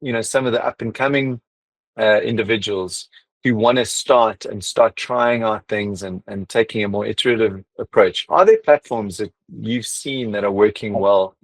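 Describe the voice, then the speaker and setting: A man's voice: lowish voice, normal speed, no echo, poor audio. A man interviewing a guest in a casual, chatty way, with frequent hesitations and restarts.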